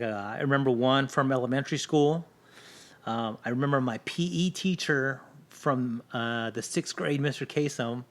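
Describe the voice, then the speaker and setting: Middle-aged guy, spanish first language, podcast guest, open and chatty, says "right?" a lot. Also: mid-range voice. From Southern California. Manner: candid storytelling, frequent "right?" tag questions. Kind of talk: casual podcast conversation.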